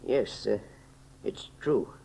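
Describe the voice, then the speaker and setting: An actor playing a dignified, self-sacrificing scientist with a husky voice and formal, stately delivery in a 1930s radio serial. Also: male voice, lowish voice, slow, warm tone, slight background noise, rough recording. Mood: resigned, moved.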